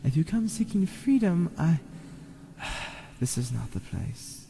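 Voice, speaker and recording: Casting: male actor as naive, lovestruck young woman, high-pitched, hesitant, sighing delivery, melodramatic audio drama. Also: very animated, slow pace, creaky, dark tone, big-room sound, exaggerated voice. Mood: downcast. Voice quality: high-pitched feminine put-on voice.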